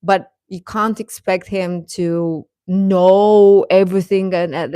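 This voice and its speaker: A woman's voice: on the low side, a little mellow, no room sound. Rambling woman livestreamer in a dry recording. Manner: rambling, halting, emphatic with repetitions. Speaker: livestream host. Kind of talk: casual fan commentary to live chat.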